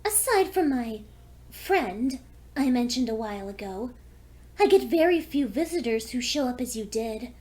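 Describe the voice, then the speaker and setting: A woman's voice: a little high, expressive, normal speed, darkish tone, pitched above the performer's own voice, close to an everyday voice. A woman voicing a seductive, lonely vampire pony with a courteous, teasing manner in a fan fiction audio story. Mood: coolly predatory.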